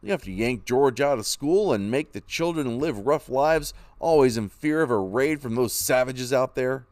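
A man's voice: mid-range voice, animated, moderate pace, darkish tone, no room sound, pitched above the performer's own voice, lightly acted. A man voicing a cautious old settler grandfather. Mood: pleading. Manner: pleading, cautious. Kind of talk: storybook reading.

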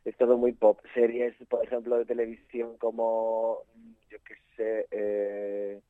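A man's voice: fairly low pitch, completely flat delivery, measured pace, no room sound. A young man from Spain, a photographer guest, chatting casually with many fillers and restarts. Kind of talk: casual interview chat. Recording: tinny phone-like line.

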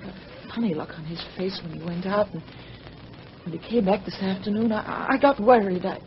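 Worried, timid young wife, female actor, halting and fretful, vintage radio acting, dull sound with faint noise. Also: medium pitch, natural voice. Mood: worried confiding. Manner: hesitant, fretful, breaking off mid-sentence. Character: anxious, timid, apologetic young wife and mother. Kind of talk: old-time radio drama acting. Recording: muffled, noisy old radio recording.

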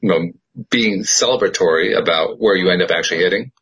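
A man hosting a podcast, talking in a measured, reflective way and chuckling often. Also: low-pitched, a bit bright, breathy edge, poor audio. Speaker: podcast host. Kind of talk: casual chat.

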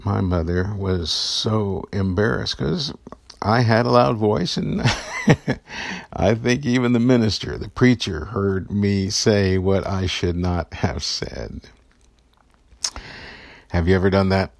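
Guy with a low gravelly voice doing an upbeat devotional talk, chuckles thru his stories, dry close mic. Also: slow pace, pauses often, dry recording. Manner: earnest and encouraging, tells personal stories with chuckles. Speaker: podcast host giving daily encouragement.

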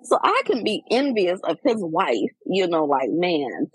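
A woman in her forties, talking candidly in a casual chat, reflective and animated as she tells personal stories. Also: on the low side, a little slow, slightly rough, no room sound, low-quality recording. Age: 40s. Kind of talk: casual heartfelt conversation.

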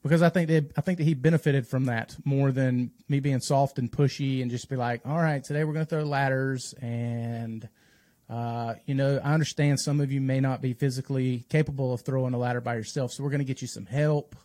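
A man speaks in a podcast conversation at an average male pitch. He explains his views earnestly and at length, rephrasing as he goes. The sound is close and dry. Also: steady tone, fairly quick, warm tone, dry recording. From North Carolina, United States. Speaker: firefighter and instructor, podcast guest.

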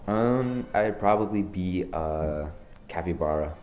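A teenage boy from Norway hosting a joking school podcast, talking in deadpan, slangy banter. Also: deep voice, slow pace, echoey room, dull audio, soft background music. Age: teens. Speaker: podcast host. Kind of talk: joking student podcast interview.

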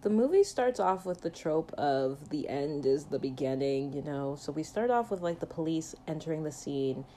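Lady chatting casually about movies, low mellow voice, jokey, says like a lot. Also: expressive, normal speed, few pauses, slight background noise. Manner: jokey, conversational, uses filler "like" often. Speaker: film reviewer.

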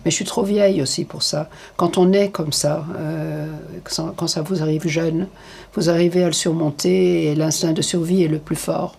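An older woman giving frank, hesitant interview answers, with many pauses and fillers. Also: low voice, fairly even intonation, gravelly, a little mellow, husky.

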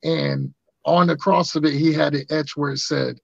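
Raspy-voiced man telling stories. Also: on the high side, normal speed, no room sound. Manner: animated, acts out quotes in funny voices. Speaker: podcast host. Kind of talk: casual storytelling chat. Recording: dry muffled lo-fi sound.

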